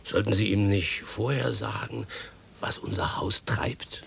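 An actor playing a gruff, grumbling coachman in a German radio play, blunt but servile, with a gravelly, husky voice. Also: fairly low pitch, fairly even intonation, measured pace, fairly bright tone, a little hiss or hum, heavily acted. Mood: cautious, apologetic. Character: gruff, grumbling male coachman, deferential to his mistress.

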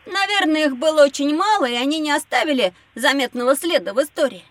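A woman's voice: sits high, lively intonation, fast, fairly bright tone, dry recording. A woman voicing a curious, outspoken little boy in a children's educational audio drama, inquisitive and exclamatory.

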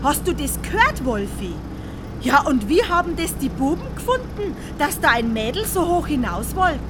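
A woman's voice: sits high, sing-song, moderate pace, background noise. An actress in a radio drama playing a gushing, curious woman interviewer with a chatty, exclamatory manner.

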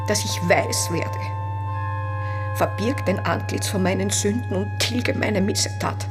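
Slow, guilt-ridden praying woman, over music. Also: mid-range voice. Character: devout, guilt-ridden woman pleading in prayer. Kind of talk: radio drama, recited prayer.

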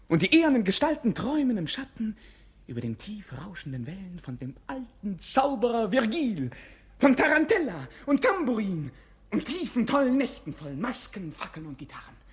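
Melancholy, sardonic young prince, slightly high and husky, dreamy, 1950s radio drama. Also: male voice, wildly swooping pitch, normal speed, exaggerated voice. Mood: rapturous. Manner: languid, mocking and then rapturously lyrical. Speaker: actor in a radio play. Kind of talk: 1950s radio play acting.

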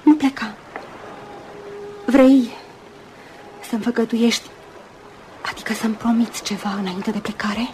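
An actress playing an earnest, lovelorn young woman in a Romanian radio play, speaking with halting intensity. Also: fairly high pitch, dark tone, a little hiss or hum, natural voice, poor audio. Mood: pleading, emotional. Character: Susan, earnest lovelorn young woman, self-effacing but insistent. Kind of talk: Romanian radio theatre acting.

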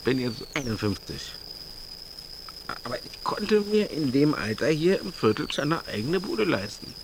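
An actor playing a colloquial 51-year-old longtime resident of a working-class quarter, chatting as an interviewee in a radio drama. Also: male voice, medium pitch, sing-song, a little slow, noisy background. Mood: reminiscing. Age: 50s. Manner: colloquial, plain, reminiscing.

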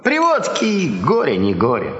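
An actor playing a poor, generous, fatalistic tippler in a radio drama, with a singsong homely patter. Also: male voice, on the high side, huge pitch swings, moderate pace. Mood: eager, rueful. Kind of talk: theatrical radio drama acting. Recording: dull echoey hall, loud noise.

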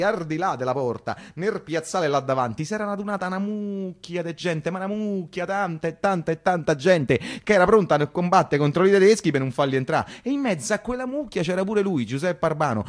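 An actor playing a streetwise, earthy Roman newspaper hawker who tells wartime memories in colloquial, repetitive dialect, as a theatrical radio monologue. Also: male voice, mid-range voice, close to an everyday voice. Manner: colloquial, repetitive, storytelling with rhetorical questions.

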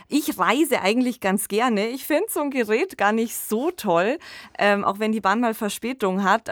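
A woman's voice: medium pitch, a bit bright, no echo, clean studio sound. A woman co-hosting a podcast, presenting and discussing in a reflective, informal, conversational way. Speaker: podcast co-host.

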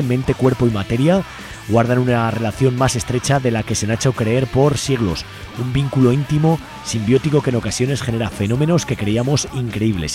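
Un hombre de España que presenta un programa de radio comunitaria de forma informal y juguetona, con anuncios y despedidas. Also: male voice, mid-range voice, a little mellow. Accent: Spain. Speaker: community radio host. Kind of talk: radio show announcements and sign-off over music. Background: music playing behind the voice.

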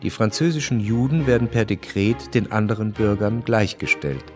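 A man's voice: on the low side, moderate pace, close to an everyday voice. Man as sober chronicler over music. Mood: factual. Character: sober male chronicler of historical events. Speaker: narrator of a radio drama. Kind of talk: scripted historical narration in present tense.

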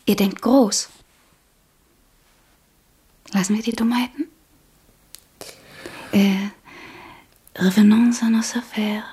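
An actress playing a worldly, flirtatious and poised court countess in a radio drama, with an arch, coquettish manner. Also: female voice, medium pitch, fairly even intonation, slow, long pauses, dark tone, breathy edge. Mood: arch, cool. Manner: arch, coquettish, slips into French. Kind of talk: German radio drama acting.

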